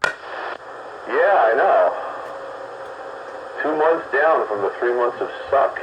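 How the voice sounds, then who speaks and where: A man's voice: medium pitch, animated, very slow. A middle-aged man hosting a casual radio chat, laid-back and rambling, chuckling now and then. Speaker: radio show host. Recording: muffled radio with heavy static.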